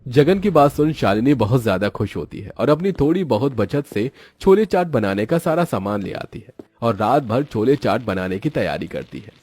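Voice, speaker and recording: A man narrates a children's story as a scripted storyteller. His voice is husky and mid-pitched with lively swings, recorded dry over music. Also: normal speed, no room sound.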